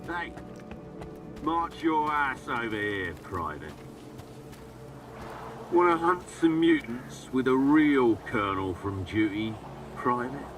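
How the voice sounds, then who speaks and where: An actor playing a swaggering, unhinged military colonel in a video game, barking and taunting theatrically. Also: male voice, mid-range voice, very animated, slow pace, pauses often, recorded from a distance, over music. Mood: mocking, bitter. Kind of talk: video game character acting.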